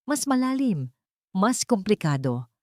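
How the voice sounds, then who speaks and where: A woman voicing a serious male investigator in an audio drama, speaking deliberately and directly. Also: medium pitch, very animated, a little mellow, no echo, clean studio sound, voice pushed up. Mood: grave, direct.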